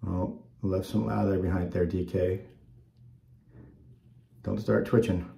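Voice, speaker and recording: A man's voice: low voice, level tone, slow, gravelly, mellow. A man in his forties, slightly stuffed up, rambling casually with lots of ums while he shaves and talks to the camera at home. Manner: rambling, many ums, easygoing. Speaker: wet shaving channel host. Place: small bathroom at home, indoors. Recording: echoey bathroom.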